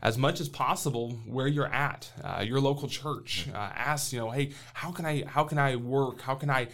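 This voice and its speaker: A man's voice: mid-range voice, normal speed, fairly bright tone. A man in his thirties who grew up in Brazil, a missionary answering interview questions in an earnest, plain-spoken way with frequent hesitant fillers.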